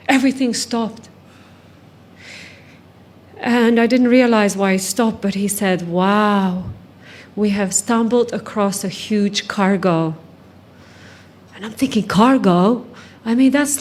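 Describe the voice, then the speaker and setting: Higher-voiced woman giving personal testimony. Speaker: guest speaker, trafficking survivor and author. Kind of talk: personal testimony told to a conference audience.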